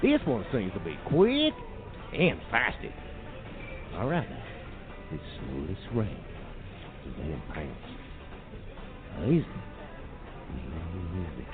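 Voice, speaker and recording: Guy doing a careful slow hunter, low voice, music behind, phone-ish. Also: wildly swooping pitch, exaggerated voice. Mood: cautious. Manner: slow, careful, lilting instructions. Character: cautious male hunter leading a capture. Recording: tinny phone-like sound with music under it.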